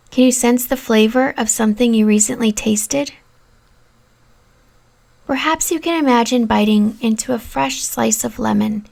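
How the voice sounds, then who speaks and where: A woman narrating a guided meditation in a calm, soothing, deliberate manner, giving relaxation instructions with pauses. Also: a little high, gravelly. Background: new-age music.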